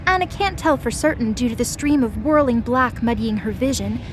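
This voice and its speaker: A woman's voice: fairly high pitch, lively intonation, fairly quick, slight background noise. A woman reading scripted, dramatic story narration as the narrator of an audio drama.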